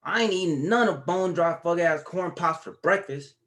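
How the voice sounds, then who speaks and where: An actor playing a profane, cocky fifteen-year-old grandson at a table read. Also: male voice, sits high, moderate pace, a bit bright. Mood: mouthy.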